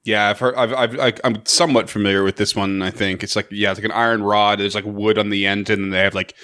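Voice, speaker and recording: A man's voice: lowish voice, level tone, fast talker, sharp tone. A man co-hosting a podcast, chatting in an easygoing way and mostly reacting to his partner. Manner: easygoing, reacting with short replies and laughs. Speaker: podcast co-host. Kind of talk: casual podcast chat.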